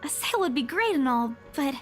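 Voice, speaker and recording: A woman's voice: high voice, lively intonation, a little fast, pitched above the performer's own voice. A woman voicing a courteous, eager and slightly hesitant young female estate agent in a visual novel. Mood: flustered. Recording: background music under the voice.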